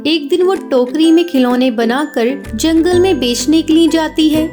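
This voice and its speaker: A woman's voice: high-pitched, unhurried. A woman narrating a scripted children's story. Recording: music behind the voice. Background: music.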